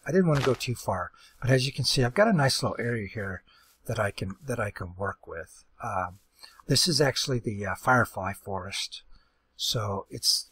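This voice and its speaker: A man hosting a casual game commentary, rambling and halting with lots of fillers and restarts, with a voice that is going hoarse. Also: fairly low pitch, a bit bright. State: losing his voice. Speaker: let's play host.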